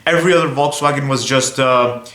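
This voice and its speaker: A man's voice: medium pitch, a little fast, pushed and bright, reverberant. A young man from Belgium chatting casually to viewers on his car vlog, rambling a little and often saying "guys". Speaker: car vlogger.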